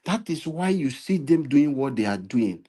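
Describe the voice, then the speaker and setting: A man's voice: a little high, big pitch swings, normal speed, mellow, breathy edge. A Nigerian man giving impassioned political commentary, preachy, repeating points and building to emphatic appeals. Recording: live stream.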